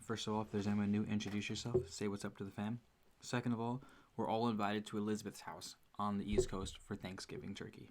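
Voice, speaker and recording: A man's voice: on the low side, flat delivery, fast. A man hosting a casual livestream, joking and talking to his viewers in a laid-back way. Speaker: livestream host.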